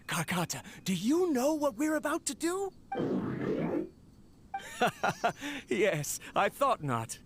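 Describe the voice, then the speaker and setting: An actor playing an earnest, lonely researcher-inventor in a video game, speaking theatrically to an unresponsive companion. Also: male voice, extremely high pitch, big pitch swings, takes it slowly, long pauses, background noise, full character voice. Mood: grand, hopeful. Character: earnest, lonely male researcher-inventor devoted to his machine. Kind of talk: dramatic game voice acting.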